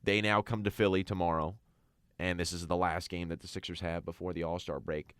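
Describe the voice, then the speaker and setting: A young man with a low, smooth voice co-hosts a sports talk show. He speaks in a casual, opinionated way, recorded close and dry. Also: little pitch movement, a little fast, fairly bright tone, dry recording. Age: young adult. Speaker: sports radio co-host.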